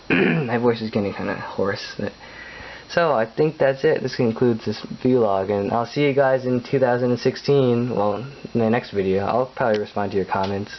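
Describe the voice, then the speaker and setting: A late-teen guy rambling casually through a home vlog, with lots of fillers, restarts and little chuckles. His voice sits a bit low for a man. The recording is dull and lo-fi with a faint hiss underneath. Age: late teens. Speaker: vlogger.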